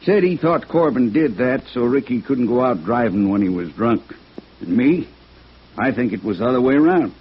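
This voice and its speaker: Husky, cocky American private eye. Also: male voice, mid-range voice, animated, normal speed. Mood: breezy, self-assured. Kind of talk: 1950s radio detective drama acting. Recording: dull, lo-fi old radio recording.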